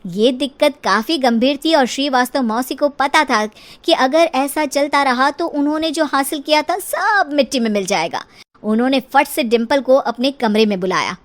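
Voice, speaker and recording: A woman narrator reading a scripted comic story for an animated video. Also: high voice, moderate pace.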